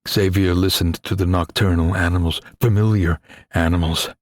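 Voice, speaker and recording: A man reading a suspenseful adventure story as an audiobook narrator, in a grave, deliberate, dramatic manner. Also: low voice, fairly even intonation, no room sound, studio quality.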